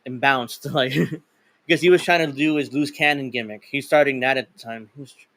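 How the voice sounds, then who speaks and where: A man's voice: mid-range voice, fairly even intonation, fairly quick, a bit bright, no room sound. A man co-hosting a casual wrestling chat, rambling and laughing often. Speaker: podcast co-host.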